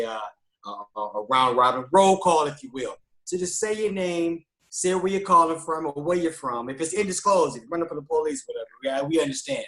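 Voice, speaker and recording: A man's voice: a little high, a bit bright, echoey room, rough recording. A man guest-hosting a live online talk show, upbeat and loose, with many fillers. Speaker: guest host.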